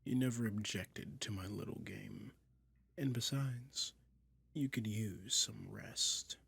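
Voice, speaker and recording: Man voicing a slow, whispering, gravelly dragon. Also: on the low side, fairly bright tone, heavily acted. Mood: teasing. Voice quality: breathy, mostly whispered. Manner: slow, lulling, teasing. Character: soothing, toying male dragon lurking in a cave. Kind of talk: soft-spoken ASMR roleplay with hypnotic relaxation.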